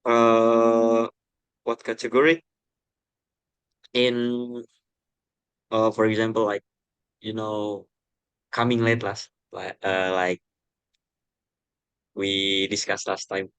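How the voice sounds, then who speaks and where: A man's voice: lowish voice, flat delivery, takes it slowly, pauses often, fairly bright tone, smooth, no room sound, low-quality recording. A twenty-year-old man, a non-native English speaker and university student, interviewing a classmate with hesitant speech full of fillers.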